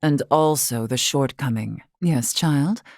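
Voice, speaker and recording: A woman playing a wise, courtly elder of a fantasy race in a video game, speaking formally and with measured, gently teasing poise. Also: extremely low pitch, dry recording, studio quality, heavily acted. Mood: calm, guarded, then sage, teasing. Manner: formal, measured, archaic wording. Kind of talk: scripted game character dialogue.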